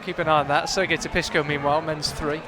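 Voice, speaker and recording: A man's voice: fairly high pitch, flat delivery, fast talker, background noise. A man doing live commentary on a climbing competition, chatty and analytical, thinking aloud as the action unfolds in a big hall. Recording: loud crowd in a big hall.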